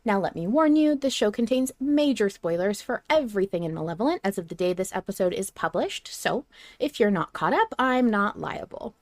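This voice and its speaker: A woman with a mid-pitched voice hosts a casual podcast discussion. She is recorded close and dry, with very little room sound around her voice. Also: expressive, a little fast, dry recording. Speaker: podcast host.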